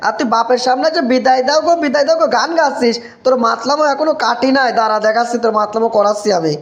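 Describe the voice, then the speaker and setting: A man's voice: very high voice, rapid-fire, a little hiss or hum. A man voicing a stern village father in a comic cartoon, scolding with menace and sarcasm in a Bogura accent. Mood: angry, threatening. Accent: Bogura, northern Bangladesh. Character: stern, threatening village father scolding his drunken son. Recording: echoey, dull lo-fi sound.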